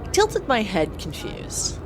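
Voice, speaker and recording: A woman's voice: mid-range voice, huge pitch swings, slow, noisy background. A woman playing an earnest young space pilot who narrates her own story in an audio drama. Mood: reflective narration. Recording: clear vehicle ambience behind voice.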